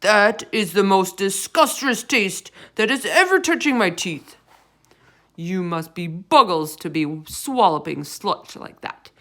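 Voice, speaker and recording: A woman voicing a huge, greedy, man-eating male giant in a storybook, loud, coarse and insulting in ungrammatical speech. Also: medium pitch, expressive, takes it slowly. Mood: roaring, disgusted. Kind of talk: storybook read-aloud character voice.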